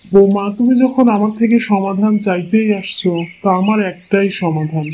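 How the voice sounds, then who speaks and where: Man playing a kindly old father-in-law, high-pitched. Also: moderate pace. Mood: resigned, advising. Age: older. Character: patient, kindly old village father-in-law, gently resigned. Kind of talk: cartoon fairy-tale voice acting. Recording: muffled lo-fi audio, clear background noise.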